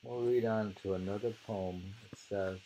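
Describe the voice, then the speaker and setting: A man reading poetry aloud and commenting on it informally, in a halting, musing way with pauses and hums. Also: on the low side, steady tone, dark tone, low-quality recording. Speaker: reader and discussion host.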